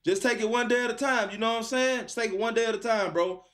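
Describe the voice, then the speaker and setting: High-voiced man hosting a live stream. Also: quick pace, a bit bright. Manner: encouraging, slangy, repeats phrases for emphasis. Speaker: host of a live call-in stream. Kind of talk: casual chat with a caller.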